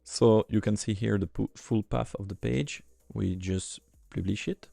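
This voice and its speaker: Low gravelly man. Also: fairly even intonation, measured pace, mellow, no echo, faint music behind. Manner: hesitant, many fillers and restarts. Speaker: software demo presenter. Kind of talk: screen-recorded software tutorial.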